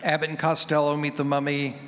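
A man casually asking a question as an audience member, a little halting. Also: on the high side, monotone, fairly bright tone. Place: large convention room. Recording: echoey hall, background noise.

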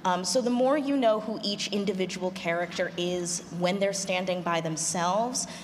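A woman's voice: lowish voice, normal speed. A woman explaining her writing craft as a panelist at a conference. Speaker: panelist, audio drama writer. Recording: reverberant conference hall, noisy.

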